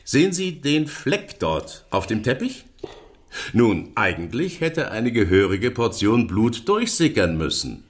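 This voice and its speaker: An actor playing a self-assured, chatty police inspector in a German radio drama, explaining things in a smug, teasing way. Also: male voice, medium pitch, big pitch swings, unhurried. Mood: teasing, relishing.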